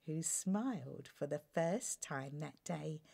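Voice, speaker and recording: A woman reading a children's bedtime story aloud in an expressive, theatrical manner. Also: low-pitched, slow pace, gravelly, slightly rough. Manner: animated, theatrical delivery.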